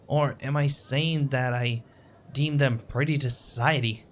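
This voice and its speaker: A man's voice: medium pitch, fairly even intonation, a little slow, slight background noise, natural voice. A man playing a shy, anxious, sweet young male stranger in a romantic audio roleplay, hesitant, stammering and full of self-doubt. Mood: nervous, rambling.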